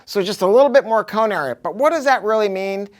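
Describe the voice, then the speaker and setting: A man's voice: high voice, animated, quick pace. A man giving a casual product review, talking informally and emphatically with some salty language.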